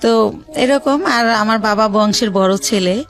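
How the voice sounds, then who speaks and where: A woman from Bangladesh telling her life story as a radio show guest, hesitant, with many pauses and fillers. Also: on the high side, faint music behind.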